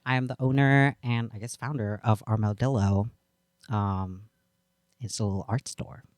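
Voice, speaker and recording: Smooth, dry-voiced guest. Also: male voice, lowish voice, steady tone, moderate pace, no room sound. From Indonesia. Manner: hesitant, many fillers and restarts. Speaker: podcast guest. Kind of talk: casual interview chat.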